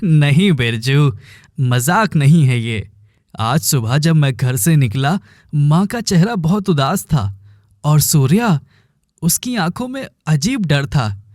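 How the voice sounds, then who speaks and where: A man's voice: a little high, animated, slow, darkish tone, no echo, studio quality. A man voicing an earnest, brooding young village woodcutter in a melodramatic cartoon. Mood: anxious.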